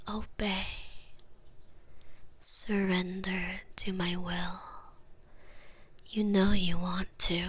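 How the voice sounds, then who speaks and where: A woman whispering slow, commanding hypnosis ASMR with a soft, breathy voice. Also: lowish voice.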